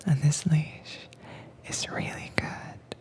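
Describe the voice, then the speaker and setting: A woman playing a dominant, teasing mistress in an intimate roleplay audio, soft-spoken and coaxing but commanding, with a breathy, whispery voice. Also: slow pace, lightly acted. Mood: calm, controlling. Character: dominant, teasing mistress training her werewolf pet.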